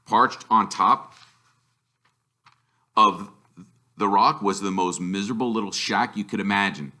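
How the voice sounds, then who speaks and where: A man reading a children's story aloud with expressive, emphatic delivery, drawing out some words. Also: fairly low pitch, unhurried. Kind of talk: animated storybook narration.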